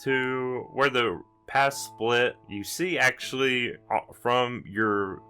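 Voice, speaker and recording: A man's voice: medium pitch, slow pace, bright, forceful tone, clean tone, soft background music. A man narrating a tabletop roleplaying game as game master, explaining things in an improvised way.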